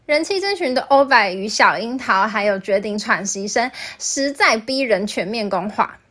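A woman's voice: on the high side, lively intonation, normal speed, noisy background. A woman narrating a scripted review as the host of a video.

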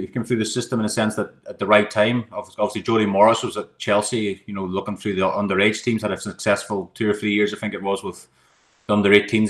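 A middle-aged Irish man talks quickly in a fairly low voice, speaking to the hosts over a video call from a room at home. He rambles a little as he goes, repeating words and leaning on "you know". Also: a bit bright. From Ireland. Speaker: guest, goalkeeping coach. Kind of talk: casual interview answers.

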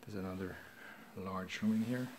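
A man's voice: low voice, normal speed, background noise. A man, a realtor, walking through an empty house and pointing out the rooms in a plain, matter-of-fact way. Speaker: realtor giving a home tour. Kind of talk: casual walk-through narration. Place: empty house and its yard, indoors and outdoors.

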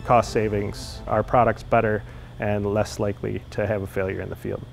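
A man's voice: on the low side, flat delivery, normal speed, creaky, low-quality recording. American guy, factory manager type, talking plainly in an interview, music underneath. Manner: plain-spoken, colloquial. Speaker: production manager. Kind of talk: customer testimonial interview.

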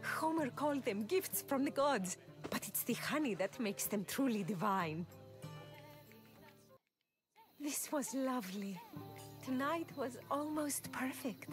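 A woman's voice: high-pitched, expressive, unhurried. A woman playing an affectionate, flirtatious middle-aged single mother who farms, in a video game cutscene. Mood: flirtatious, delighted. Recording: music bed under the dialogue.

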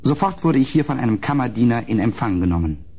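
A man playing a grave, haunted gentleman who narrates a gothic audio drama in measured, solemn, literary phrases. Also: medium pitch, animated, slightly rough. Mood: grave, troubled.

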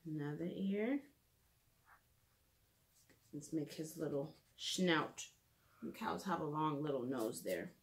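Gentle woman teaching preschoolers to draw. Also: low voice, expressive, slow pace, pauses often. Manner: gentle, simple wording, thinking aloud while drawing. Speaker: children's art and reading teacher. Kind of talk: simple narrated drawing lesson for preschoolers. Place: living room at home, indoors. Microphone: camera a few feet away.

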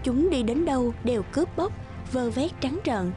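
A woman's voice: high voice, lively intonation, moderate pace. A woman reading scripted fairy-tale narration as a storyteller. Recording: music behind the voice. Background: music.

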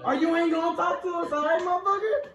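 A man's voice: extremely high pitch, steady tone, fast talker, fairly bright tone. A young man, bantering casually with friends in a sassy, profane way. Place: dim living room with friends.

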